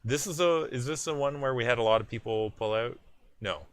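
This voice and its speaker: A man's voice: medium pitch, fairly quick. A man with a Canadian accent co-hosting an esports show, reading out match results in casual chat with frequent restarts.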